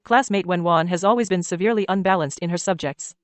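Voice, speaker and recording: A woman's voice: lowish voice, fast, no echo, natural voice. A woman playing a sanctimonious, coolly disparaging homeroom teacher reading a statement in a radio drama.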